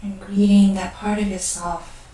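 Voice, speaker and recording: Slow, echoey woman guiding meditation. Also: mid-range voice, flat delivery. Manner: gentle, unhurried, pausing between short phrases. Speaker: guided meditation leader. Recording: very echoey room, distant mic.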